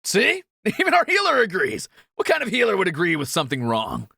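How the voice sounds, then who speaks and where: A bright, animated rogue of a thief, acted by a man, teasing and flippant in a fantasy roleplay. His high voice has a gravelly creak and he is always angling for gold. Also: fairly quick, dry recording, studio quality, heavily acted. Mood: playful mocking. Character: cheeky, greedy young male thief, teasing adventurer. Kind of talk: fantasy audio roleplay acting.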